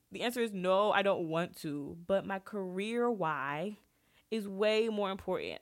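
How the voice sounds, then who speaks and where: Low-voiced woman podcaster with a head cold. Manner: earnest, asks listeners direct questions. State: congested with a cold, sniffly. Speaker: podcast host. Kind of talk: casual solo podcast advice talk.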